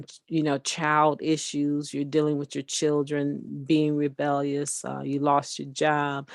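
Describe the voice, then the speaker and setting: A woman with a booming voice giving an earnest, encouraging spoken meditation on recovery, talking conversationally and often tagging her points with a questioning "right". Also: no echo, studio quality.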